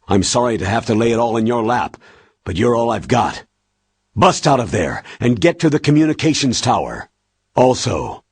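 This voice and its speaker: An actor playing a stern, burdened older military commander in a video game, with a rough, husky voice. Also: male voice, medium pitch, dry recording. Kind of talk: dramatic video game voice acting.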